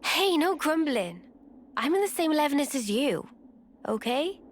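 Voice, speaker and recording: An actress playing a gentle, level-headed young woman in a video game cutscene, speaking in a measured, gently firm way. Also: high voice, sing-song, slight background noise. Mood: gently reassuring.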